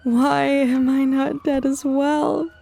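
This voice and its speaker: A woman's voice: high voice, little pitch movement, vocal fry, mellow, a little hiss or hum, heavily acted. A woman playing a traumatized young survivor who broods and blames herself in an audio drama monologue. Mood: anguished, numb. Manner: brooding, slow inner monologue. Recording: music behind the voice.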